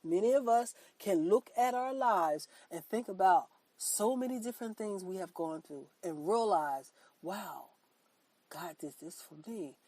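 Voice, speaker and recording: A woman's voice: mid-range voice, animated, measured pace, a little mellow, no echo, poor audio. A woman hosting a motivational faith podcast, sounding congested from a cold, talking earnestly and repeating her points for emphasis. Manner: earnest, repetitive, emphatic preaching; affirming herself with "that's right". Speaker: podcast host.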